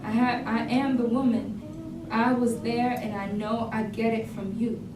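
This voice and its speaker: A woman's voice: fairly high pitch, fairly even intonation, a little slow, lightly acted. A woman in a staged reading playing a proud, self-loving warrior daughter in an incantatory spoken-word style. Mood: proud, celebratory. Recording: distant, echoey, noisy room.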